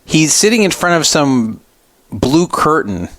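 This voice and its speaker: A man's voice: on the high side, sing-song, a little slow, fairly bright tone, dry recording. A man hosting a radio show, giving casual critical commentary in a sarcastic, mocking manner.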